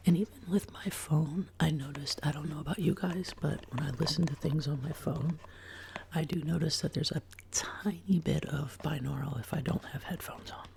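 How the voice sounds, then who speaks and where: A woman hosting a soft-spoken ASMR chat, sick and sounding lower than usual, drifting between quiet speech and whispers in her home studio. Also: steady tone, moderate pace, creaky, noisy background. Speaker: ASMR channel host. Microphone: binaural desk microphone.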